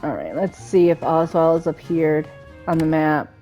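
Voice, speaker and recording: A woman's voice: sits low, moderate pace, mellow. A woman giving casual gameplay commentary, chatting and thinking aloud as she goes. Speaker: game streamer playing and commentating. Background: game music.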